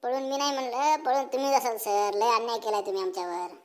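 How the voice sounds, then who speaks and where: A man's voice: extremely high pitch, steady tone, fast talker. A man voicing a bold, cheeky cartoon schoolboy with a rural Marathi accent and a blunt, folksy way of talking. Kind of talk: comic cartoon dialogue and mock school speech.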